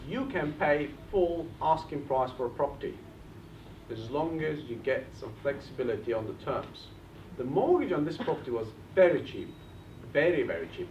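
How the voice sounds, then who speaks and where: A man from Bulgaria with a Bulgarian accent, presenting at a live seminar and speaking straight to the audience with questions. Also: fairly high pitch. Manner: animated, addresses the audience directly with questions. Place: meeting room with an audience. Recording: echoey room, distant mic, muffled, faint hiss.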